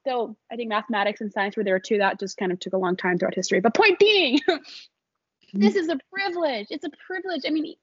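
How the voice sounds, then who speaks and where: A woman's voice: fairly high pitch, fairly quick, husky, no echo, lo-fi sound. A young adult woman, a small business founder, talking casually as an interview guest, chatty and laughing often with many fillers.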